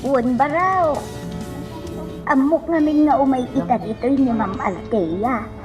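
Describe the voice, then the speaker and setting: A troubled servant woman in a radio drama, delivers grave warnings. Her voice is notably high and she draws her words out very slowly, with music playing behind her. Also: highs cut off. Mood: solemn, urging.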